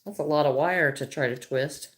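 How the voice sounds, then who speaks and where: A woman's voice: low-pitched, moderate pace. A middle-aged Midwestern American woman chatting casually on a crochet livestream, greeting viewers by name and thinking aloud as she works.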